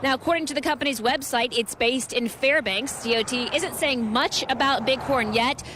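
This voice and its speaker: American woman news reporter, clear background noise. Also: high voice, normal speed.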